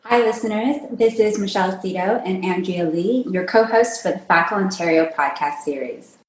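A woman co-hosting a podcast, reading introductions and asking questions clearly. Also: medium pitch, moderate pace, echoey room, poor audio. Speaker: podcast co-host. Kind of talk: podcast interviewing.

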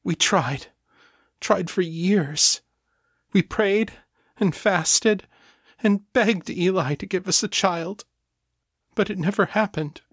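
A man voicing a grieving, furious middle-aged mother, high and tearful as she pleads and rages. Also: slow pace, pauses often, a bit bright, slightly rough, no echo, studio quality, full character voice. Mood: bitter.

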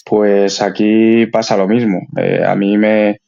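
A man's voice: on the low side, flat delivery, slow pace, gravelly, warm tone. A man with a Spanish accent from Spain, a guest chatting casually and colloquially over a lo-fi connection. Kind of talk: casual chat. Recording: dull lo-fi online connection.

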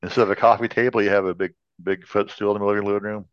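A man with a fairly low voice banters casually as a podcast co-host, riffing and guessing playfully. The recording is dry and a little dull. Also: level tone, very fast, clear, resonant tone, dry recording. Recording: slightly dull, lo-fi sound.

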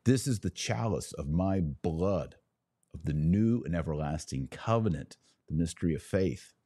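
A man hosting a podcast, giving opinionated religious commentary in an emphatic, declamatory manner with pauses between short phrases. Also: sits low, lively intonation, dark tone, breathy edge, no echo.

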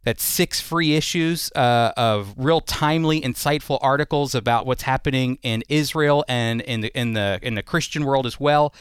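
A man's voice: mid-range voice, moderate pace, hardly any pauses, clear, resonant tone, no room sound. Clean, dry-sounding American male radio host. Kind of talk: radio program presenting and interviewing. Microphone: close studio microphone.

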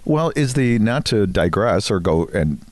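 A man's voice: on the low side, sing-song, a little slow, no room sound. A middle-aged or older man co-hosting a podcast with casual, wisecracking banter and conversational storytelling. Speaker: podcast co-host.

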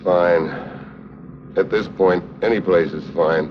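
An actor playing a tough, wisecracking American agent with a wry, slangy manner in an early 1950s TV spy drama. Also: male voice, sits low, takes it slowly, background noise. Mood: easygoing. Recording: muffled vintage 1950s TV soundtrack.

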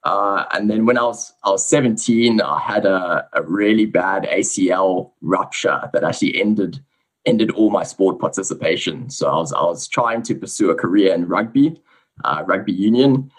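A man with a South African accent, a guest researcher giving a relaxed, explanatory account of his background on a podcast. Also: low-pitched, moderate pace, rough recording. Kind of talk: casual podcast interview.

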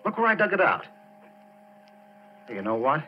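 A man playing an excitable, insistent oil-rig worker in urgent, emphatic 1940s radio drama style. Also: a little high, normal speed. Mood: insistent, amazed. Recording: dull, noisy 1940s radio recording.

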